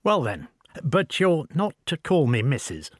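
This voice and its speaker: A man voicing a tall, proud older woman with an upper-class English accent, brisk and wry in an audiobook. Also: a little high, wildly swooping pitch, dry recording, studio quality, exaggerated voice. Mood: commanding. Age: over forty. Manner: brisk, commanding, wry and talkative. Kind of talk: audiobook dialogue.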